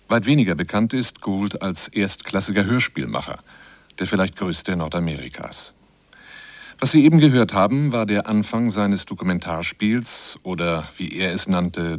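A man reading a scripted radio essay in a measured, articulate and explanatory manner. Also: low-pitched, no room sound. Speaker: radio feature narrator. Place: radio studio.

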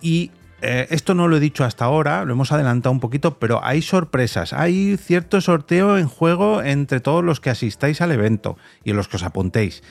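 A man's voice: a little high, lively intonation, fairly quick, creaky, no room sound, soft background music. A man with an accent from Spain hosting a podcast in a chatty, informal, conversational way. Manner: chatty, informal, fond of diminutives. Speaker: podcast host and interviewer. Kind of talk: conversational podcast interview and sign-off.

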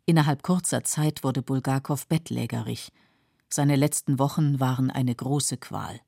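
A woman with a husky voice giving sober, measured scripted documentary narration in a radio studio. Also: extremely low pitch, warm tone, no room sound.

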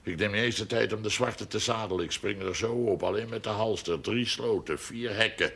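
A man's voice: lowish voice, a little fast, vocal fry, fairly bright tone, husky, heavily acted. A man playing a proud, hot-headed farmer with a folksy, roundabout, emphatic way of talking in a period television drama. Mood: bitter, recounting. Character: proud, hot-headed farmer with seven sons, scheming neighbour. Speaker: actor in a television drama series. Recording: noisy old television soundtrack.

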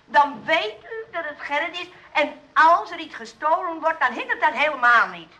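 A woman's voice: sits high, lively intonation, normal speed, sharp tone. An actress playing a fretful, bossy and well-meaning nursing sister in a Dutch radio play, anxious and hesitant in her talk. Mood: flustered, reassuring. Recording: noisy old radio play recording.

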